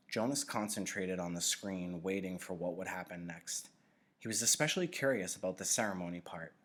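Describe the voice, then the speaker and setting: Low pitched guy doing a read-aloud of a novel, storybook narration. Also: a bit bright. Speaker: teacher reading a novel aloud.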